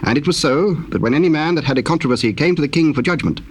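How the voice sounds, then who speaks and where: A man with a British accent narrating scripture in a formal, measured, solemn storytelling style. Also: fairly high pitch, animated. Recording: noisy old cassette tape with ambience.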